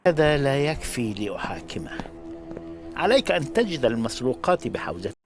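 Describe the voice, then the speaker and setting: An actor voicing a stern male authority figure in an animated story, in formal standard Arabic. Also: medium pitch, a little fast, natural voice. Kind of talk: dramatic animation voice acting. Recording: music under an animated clip.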